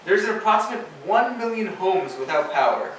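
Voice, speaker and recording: A man's voice: high voice, sing-song, normal speed, a bit bright. A young man working as reporter and interviewer in a scripted newscast parody. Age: young adult. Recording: very echoey room, distant, some noise.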